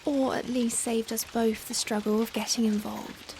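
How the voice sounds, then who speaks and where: A woman playing a young Victorian vampire in a softly spoken ASMR roleplay, anguished and tender, speaking formally and hesitantly with rain behind the voice. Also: on the high side, moderate pace, lightly acted. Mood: regretful, pleading. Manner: hesitant, formal, emotional, pleading. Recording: steady rain noise behind. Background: rain falling on a surface.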